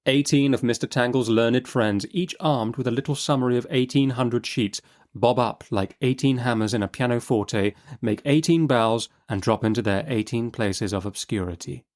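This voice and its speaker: A man's voice: mid-range voice, moderate pace, darkish tone. A man giving a dramatic, literary audiobook narration. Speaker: narrator and host.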